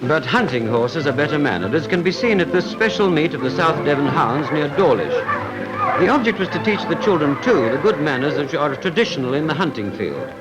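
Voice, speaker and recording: Old 1940s british newsreel guy, posh RP, bit high pitched, wry and proper, music behind him. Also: big pitch swings, fairly quick, poor audio. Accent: British Received Pronunciation. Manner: formal, wryly humorous. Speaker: newsreel narrator. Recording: vintage 1940s film soundtrack with music. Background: background music.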